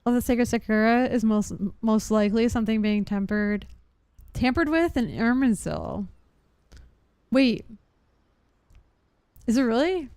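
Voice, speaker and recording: A woman's voice: on the high side, expressive, unhurried, long pauses, darkish tone, smooth, no room sound, studio quality. A woman giving casual live-stream gameplay commentary, musing aloud in an informal way. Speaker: game streamer.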